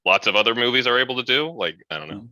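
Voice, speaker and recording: A man's voice: medium pitch, animated, very fast, pushed and bright, no room sound, poor audio. A young man casually debating with friends as an opinionated, skeptical podcast co-host.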